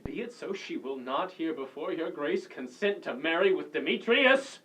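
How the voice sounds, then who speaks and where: A man's voice: fairly high pitch, lively intonation, normal speed, a bit bright, rough recording. A man playing an indignant, demanding father, declaiming formally in a live Shakespeare reading.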